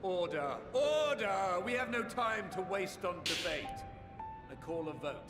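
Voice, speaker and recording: Commanding game chairman: high, ceremonial, echoey, music behind. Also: lively intonation, unhurried. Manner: loud, ceremonial proclaiming. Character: commanding, solemn male assembly chairman. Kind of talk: scripted video game voice acting. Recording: echoing game audio under music.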